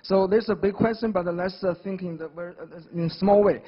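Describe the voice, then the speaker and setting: High-voiced man explaining in halting English. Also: steady tone, husky, highs cut off. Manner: halting, homely food analogies, many fillers. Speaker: professor, panel guest. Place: TV studio.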